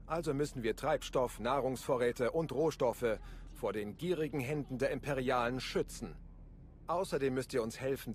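A roguish game spy played by a man, smooth-talking and flirtatious. His mid-pitched voice sits over faint ambient noise. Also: close to an everyday voice.

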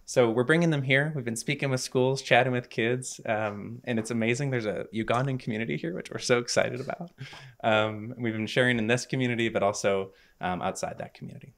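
A man in his thirties presenting his charity's work to a home audience, earnest and measured, with frequent ums. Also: mid-range voice. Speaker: nonprofit speaker. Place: living room with stone fireplace, indoors. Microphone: handheld mic.

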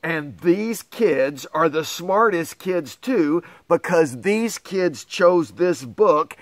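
A man's voice: a little high, animated, slow, no room sound. A middle-aged man reading a picture book aloud to children with theatrical, exaggerated dismay and astonishment. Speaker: pastor reading to schoolchildren.

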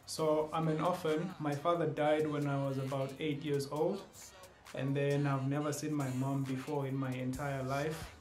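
A man's voice: mid-range voice, darkish tone, reverberant. A young man with a South African accent, casually introducing himself on his video channel with playful asides. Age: young adult. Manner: playful, joking asides, switches into other languages. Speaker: YouTuber. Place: small indoor room with shelves. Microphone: camera at close range.

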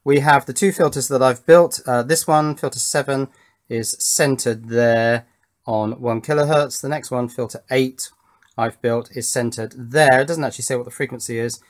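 A middle-aged man presenting an informal hands-on electronics demonstration, unhurried and thinking aloud as he explains. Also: medium pitch, steady tone.

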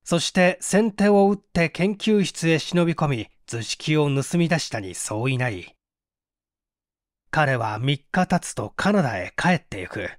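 Male audiobook reader, dramatic mystery narration, lively intonation, slightly high voice, dry sound. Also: unhurried, dry recording.

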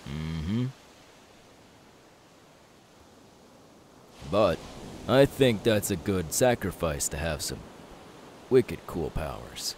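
A young pirate captain, acted out by a man in an audio roleplay, confident and loyal to his crew. His voice is low and swings in a lively way as he reassures without hurry. A faint steady hiss sits behind him. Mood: warm, sincere. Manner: easygoing, unhurried, reassuring.

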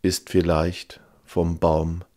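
A man's voice: low voice, monotone, slow pace. A man reciting a poem as a reader. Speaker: poetry reader. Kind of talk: slow poetry recitation.

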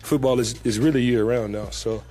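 A young man, a pro football player, answering reporters at a press conference in a relaxed, casual way, with many fillers and repeated words. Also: mid-range voice, moderate pace, slight background noise. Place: team press room, indoors. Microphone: table mic in front.

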